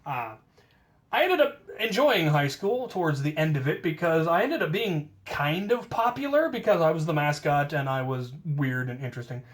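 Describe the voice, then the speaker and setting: An American man casually telling stories from his own life, with playful self-deprecation and drawn-out emphasis on key words. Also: fairly high pitch, expressive. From United States. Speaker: storyteller, host of a hobby channel. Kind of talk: casual autobiographical storytelling.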